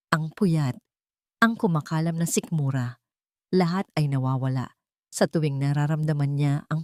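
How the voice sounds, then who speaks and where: A woman's voice: sits low, a little mellow, no echo, studio quality. A woman with a Filipino accent narrating a dramatic romance story from a script as a storyteller.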